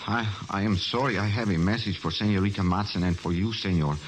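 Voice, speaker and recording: A man's voice: fairly low pitch, steady tone, fairly quick, lightly acted. Meek young villager, acted by a man. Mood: apologetic, earnest. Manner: apologetic, polite, pleading. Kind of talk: 1940s radio drama acting.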